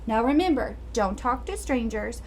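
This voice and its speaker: A woman voicing a caring mother in a fairy tale, gentle and instructive. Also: a little high, expressive, normal speed, a little hiss or hum. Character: caring, careful mother of a little girl.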